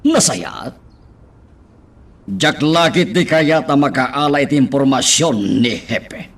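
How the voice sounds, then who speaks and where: A man's voice: medium pitch, animated, takes it slowly. A man acting a stern, commanding crime boss and father in a radio drama. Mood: stern, scheming. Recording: faint background noise.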